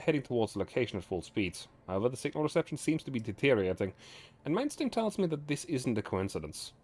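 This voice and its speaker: A man livestreaming as host, reading game story aloud with casual asides and stumbling now and then, his voice slightly raspy. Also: medium pitch. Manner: halting, often stumbles and corrects words while reading.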